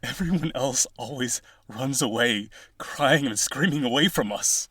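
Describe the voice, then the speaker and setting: A man's voice: mid-range voice, a little slow, a bit bright, husky, no echo. A man playing a lonely, boastful vampire aristocrat in an audio roleplay, genteel, dramatic and insistent in his possessive wooing. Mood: desperate. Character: lonely, boastful rich vampire brother, possessive yandere suitor.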